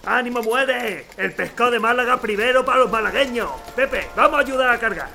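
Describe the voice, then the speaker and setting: Guy doing a gruff grumbly worker, high voice, old-time spanish radio play, music behind. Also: brisk, sharp tone, full character voice. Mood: rallying. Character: gruff, grumbling working man of 1918 Málaga. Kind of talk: radio drama acting.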